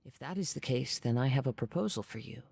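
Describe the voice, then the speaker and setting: An actor playing a calm, courteous young wandering samurai in a video game, with measured, formal, poetic speech. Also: male voice, mid-range voice, gravelly, breathy edge, dry recording. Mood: composed, courteous. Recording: music behind.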